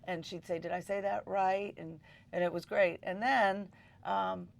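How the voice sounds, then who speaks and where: A woman's voice: mid-range voice, steady tone, unhurried, gravelly. A middle-aged woman teacher talking in an interview, enthusiastic and full of ums. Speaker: high school teacher.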